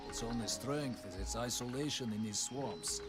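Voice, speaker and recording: An actor voicing a proud warrior knight who always speaks of himself in the third person, in a formal manner, with game music behind. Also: male voice, mid-range voice, moderate pace, lightly acted. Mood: solemn. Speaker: game character.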